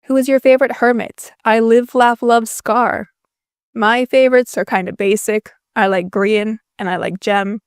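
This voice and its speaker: A woman's voice: a little high, steady tone, normal speed, clean tone, dry recording. A young woman from Finland, a native Finnish speaker, answering viewer questions in a casual voice-over with dry, self-deprecating jokes. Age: young adult. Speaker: YouTuber.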